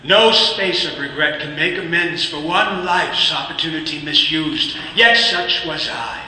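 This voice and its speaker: An actor voicing the solemn ghost of an old businessman in a radio play, speaking in a measured, grave way. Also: on the high side, pushed and bright. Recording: echoey hall with faint hiss.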